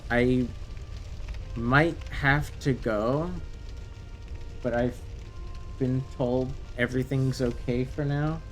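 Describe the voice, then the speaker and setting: A man streaming a game live, his first language French, talking at an unhurried pace in a mid-range voice. He breaks into swearing and sudden outbursts, then turns to chat casually with his viewers over faint music. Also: medium pitch, fairly even intonation. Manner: bursts of exclamations, swears, chats with viewers. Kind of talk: casual live gameplay commentary.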